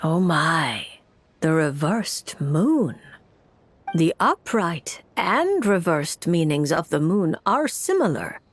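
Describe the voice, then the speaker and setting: An actress playing a composed, mysterious noblewoman fortune-telling mage, theatrical and deliberate, in a video game. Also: deep voice, sing-song, exaggerated voice. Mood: ominous. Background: music.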